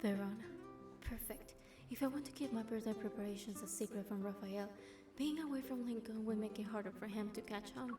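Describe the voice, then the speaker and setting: An actress playing a gentle young heroine who narrates her romance game story in a measured way. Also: fairly high pitch, music in the background, natural voice. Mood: warm, thoughtful. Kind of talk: scripted first-person narration.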